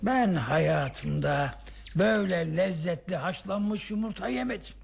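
A man's voice: high voice, animated, measured pace, creaky, husky, heavily acted. An actor playing an eager, effusive older man in a radio play, exclaiming and repeating his words. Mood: delighted, grateful. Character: Hulusi, eager grateful older man, excitable cycling companion. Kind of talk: Turkish radio theatre acting. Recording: faintly noisy old radio drama recording.

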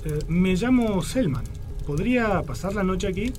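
Man acting a high-voiced young stranger. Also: lively intonation, normal speed, over music. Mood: hesitant. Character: polite, hesitant young traveling stranger on horseback. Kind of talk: radio drama acting.

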